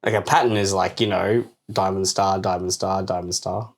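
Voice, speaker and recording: Sarcastic podcast co-host repeating words rapidly. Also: low voice, fairly even intonation. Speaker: co-host of a tech news podcast. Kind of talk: casual comedic banter.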